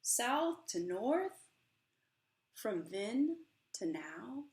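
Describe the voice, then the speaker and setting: Middle-aged American woman, children's author read-aloud: slow, expressive, dramatic phrasing, roomy home recording. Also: on the high side. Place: small room indoors at home. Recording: roomy indoor echo.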